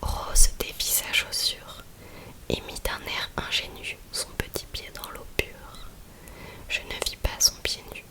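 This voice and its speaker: Female ASMR host, fully whispered, unhurried, intimate and soothing, close-miked poetry reader. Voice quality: breathy, unvoiced whisper. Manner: soft, slow, intimate, soothing delivery. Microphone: close-up USB condenser microphone.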